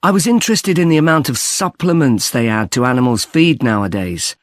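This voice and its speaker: A man's voice: medium pitch, expressive, brisk, no room sound, clean studio sound, lightly acted. A man playing an earnest young student in a scripted listening-test dialogue. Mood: earnest, chatty. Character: earnest young male student on farm placement.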